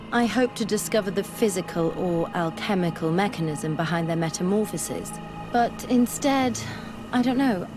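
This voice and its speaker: An actress playing an earnest, bookish young female scholar in a video game, speaking in a measured, articulate way. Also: medium pitch, natural voice. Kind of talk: video game dialogue acting. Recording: music behind the voice.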